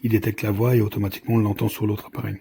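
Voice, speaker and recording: A man giving a casual hands-on product demonstration as a reviewer, explaining haltingly and often restarting his sentences. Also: on the low side, flat delivery, warm tone, a little hiss or hum.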